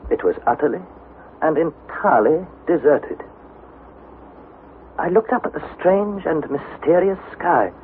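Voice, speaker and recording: A young adventurer, earnest and inquisitive, in an old-time radio serial. His fairly high voice moves up and down with lively feeling over a narrow, tinny broadcast sound with faint noise. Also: measured pace, long pauses. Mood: dramatic narration. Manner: questioning, tentative, dramatic storytelling. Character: earnest, curious young nephew, narrating his expedition journal. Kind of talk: vintage radio drama narration and acting.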